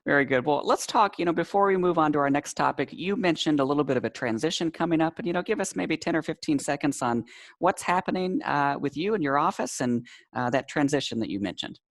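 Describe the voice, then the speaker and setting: A man's voice: on the high side, expressive, fast, no echo. A man hosting a casual live interview, friendly and upbeat, laughing often. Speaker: interview host.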